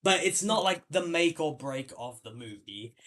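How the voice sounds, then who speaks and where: A man's voice: fairly high pitch, lively intonation, normal speed, fairly bright tone. Rambling male podcast host. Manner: rambling, many fillers and restarts. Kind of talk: casual film discussion.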